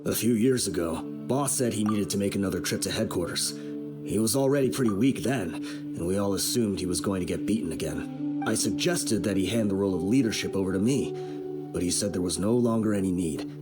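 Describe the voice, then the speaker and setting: A grave desert outlaw leader from a video game, acted by a man. He is vengeful and guilt-ridden, telling his tale in a fairly low voice. Music plays clearly underneath. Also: close to an everyday voice. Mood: somber. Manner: solemn, deliberate storytelling. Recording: music behind the voice.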